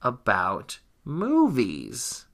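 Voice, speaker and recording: A man's voice: medium pitch, wildly swooping pitch, drawn-out words, a bit bright. A man co-hosting a podcast, chatting casually and playfully about games and movies. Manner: playful, joking. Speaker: podcast co-host.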